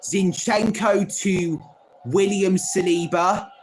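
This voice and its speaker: High-voiced man calling football live. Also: fairly even intonation, takes it slowly, a little hiss or hum. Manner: calls each pass by player name, chatty asides to chat. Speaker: livestream host. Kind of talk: live football play-by-play watchalong.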